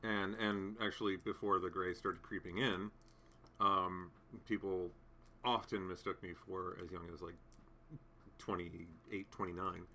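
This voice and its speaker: A man's voice: sits low, little pitch movement, a little slow, sharp tone, slight background noise. A man in his late thirties hosting a casual live chat from home, rambling with many fillers and restarts as he answers comments. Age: 30s. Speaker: livestream host.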